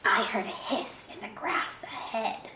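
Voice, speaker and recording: Male forest monster, acted by a woman: big, gullible, exaggerated storybook delivery, unhurried and expressive. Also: a little high, roomy sound, background noise. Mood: wary.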